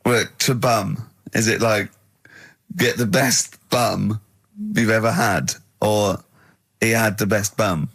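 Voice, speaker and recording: A man's voice: lowish voice, fairly even intonation, slow, fairly bright tone, husky, no room sound. Teasing male radio host, dry sound. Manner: teasing, jokey asides. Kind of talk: casual radio chat. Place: radio studio.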